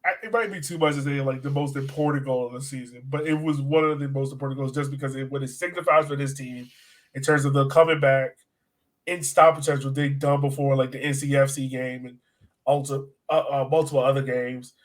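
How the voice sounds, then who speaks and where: A man's voice: medium pitch, steady tone, brisk, poor audio. A man co-hosting a soccer fan podcast, talking casually and acting out his reactions as he breaks down matches. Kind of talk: casual sports chat, match analysis.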